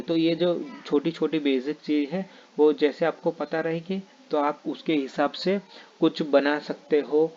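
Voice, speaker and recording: Man, non-native speaker, trading tutorial, halting, many fillers, mid pitch, muffled recording. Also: darkish tone. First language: not Hindi. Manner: halting, many fillers and restarts, repeats "ठीक है". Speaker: trading teacher. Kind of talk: explaining options trading lesson.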